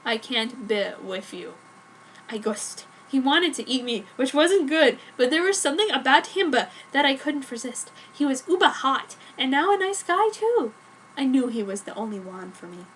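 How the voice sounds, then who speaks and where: A woman with a fairly high voice narrates a romance story in a theatrical, mock-earnest way. The amateur recording has clear noise behind her. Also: expressive, moderate pace, a bit bright, poor audio. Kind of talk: dramatic reading of fan fiction.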